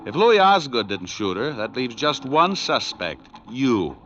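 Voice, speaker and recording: A man's voice: mid-range voice, very animated, unhurried, a bit bright. An American man playing a cool, wisecracking private detective who narrates his case in a dry, sardonic 1940s radio style. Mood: sardonic, accusing. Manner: hard-boiled, dry, sardonic. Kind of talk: 1940s radio acting, first-person noir narration. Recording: muffled old radio recording, music behind.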